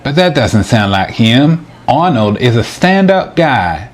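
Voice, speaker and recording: Slow-talking, flustered naive man played by an actor. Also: mid-range voice, very animated. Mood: earnest. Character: flustered, naive man caught two-timing on dates. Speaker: actor in a sitcom-style dramatization. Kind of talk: acted comedic date dialogue.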